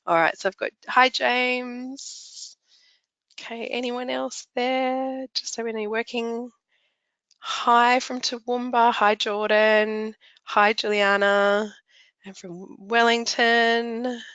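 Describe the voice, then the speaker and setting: A middle-aged woman with a slightly croaky, raspy voice, presenting a product launch webinar in a chatty, upbeat way with frequent ums. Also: on the high side, fairly even intonation, slow pace, fairly bright tone, dry recording. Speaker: webinar presenter, naturopath trainer. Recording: dull lo-fi webinar audio.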